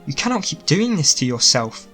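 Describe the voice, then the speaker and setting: A man's voice: a little high, sing-song, normal speed, pushed and bright, faint music behind, pitched above the performer's own voice. Man reading as earnest, pleading young queen. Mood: pleading, firm. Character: mourning young queen, gentle but firm.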